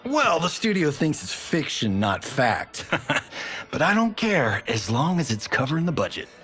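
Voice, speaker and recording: An actor playing a cocky, upbeat Hollywood action star turned director in a video game, breezy, slangy and wisecracking. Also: male voice, fairly high pitch, big pitch swings, unhurried, a bit bright. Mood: breezy, playful. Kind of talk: cinematic game cutscene acting.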